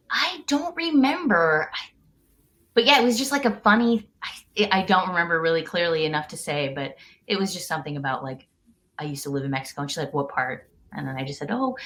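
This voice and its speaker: A woman's voice: on the low side, a bit bright. A woman in her thirties from the United States, a podcast guest talking casually from home over a call, chatty and laughing often.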